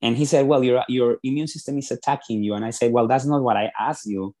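A man's voice: mid-range voice, fairly even intonation, fast talker, dark tone. A man from Peru with a Peruvian Spanish accent, a guest on a podcast, telling his story earnestly and often restarting his sentences. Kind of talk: podcast interview.

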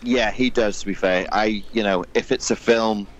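A man's voice: lowish voice, steady tone, moderate pace, dull audio. A man from Wales hosting a podcast, chatting casually and enthusiastically with a guest. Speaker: podcast host. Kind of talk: casual chat.

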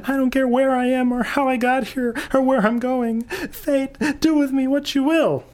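A man's voice: very high-pitched, steady tone, moderate pace, darkish tone. Man acting whiny, hapless fatalist. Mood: melodramatic despair. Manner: whiny, melodramatic. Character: self-pitying, fatalistic, put-upon male hapless wanderer. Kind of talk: comic radio play acting.

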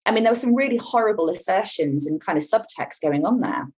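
A woman's voice: medium pitch, expressive, a little fast, darkish tone, husky. A woman communication coach speaking in an articulate, emphatic way about how people take in information. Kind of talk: interview talk, explaining.

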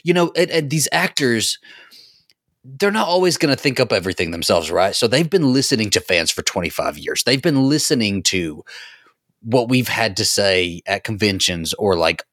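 A man co-hosting a podcast, chatting casually in a blunt, animated, sweary way. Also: on the low side, normal speed, dry recording, studio quality. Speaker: podcast host. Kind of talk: casual fan podcast chat.

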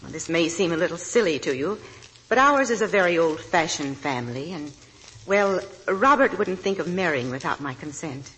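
An actress playing a genteel, blunt, anxiously devoted middle-aged mother, gracious and deliberate, in a 1940s radio drama. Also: on the low side, expressive, a bit bright. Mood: solemn, fervent. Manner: gracious, deliberate, hesitant when uneasy. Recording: noisy vintage 1940s radio broadcast.

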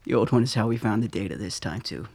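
A man playing a shrewd leader in a radio drama, with a brisk, matter-of-fact manner. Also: lowish voice, vocal fry, darkish tone, husky, dry recording. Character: shrewd male leader analysing intelligence.

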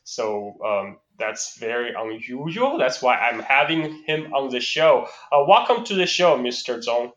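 Chinese-accented podcast host, roomy sound. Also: male voice, mid-range voice, expressive, fairly bright tone. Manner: halting, with fillers and self-corrections. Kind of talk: casual interview. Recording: roomy and slightly dull.

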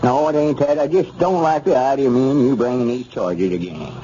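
An actor playing a conscientious, folksy rural justice of the peace in a 1930s radio comedy, with a rural Arkansas accent and a deliberate, muttering manner. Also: male voice, medium pitch, mellow, natural voice. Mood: troubled, reluctant. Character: hesitant, conscientious rural justice of the peace, middle-aged or older. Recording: muffled, noisy 1930s radio recording.

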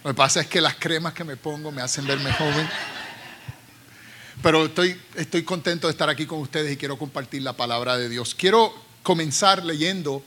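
A middle-aged Puerto Rican man preaching and reading scripture aloud. His voice is bright and a little higher than most men's. He talks with friendly asides and frequent small restarts. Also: moderate pace, slight background noise. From Puerto Rico. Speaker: preacher from a seminary team.

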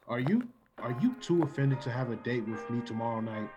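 A man's voice: mid-range voice, very animated, a little fast, dull audio, close to an everyday voice. Man playing a brusque suitor with swooping delivery. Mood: courting. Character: brusque, forward male suitor courting a young woman. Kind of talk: performance of a classic radio play. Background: music behind the voice.

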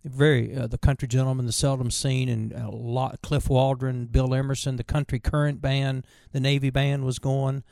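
A man in his sixties from tells stories in a folksy, rambling way, often stopping and starting over. His voice is gravelly and dry, with no room sound around it. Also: medium pitch, level tone, normal speed, hardly any pauses, a little mellow, dry recording. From Virginia, USA. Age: 60s. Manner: folksy, rambling, with many restarts. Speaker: bluegrass musician guest. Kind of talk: casual storytelling interview.

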